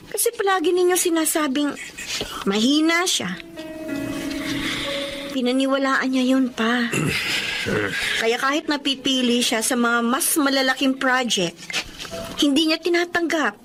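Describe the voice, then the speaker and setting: Woman acting an earnest young Filipina, high voice. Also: normal speed, long pauses, music in the background, close to an everyday voice. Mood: pleading, protective. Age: young adult. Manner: earnest, emotional, pleading. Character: caring, exasperated young career woman defending her twin brother. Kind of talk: Filipino radio drama acting.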